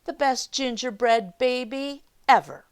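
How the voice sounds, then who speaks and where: Woman putting on a cheeky, loud gingerbread baby. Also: high voice, a little slow, clean tone, pitched above the performer's own voice. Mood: boastful, gleeful. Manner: boastful sing-song at full voice. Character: brash cheeky gingerbread baby, small boy, singing taunts.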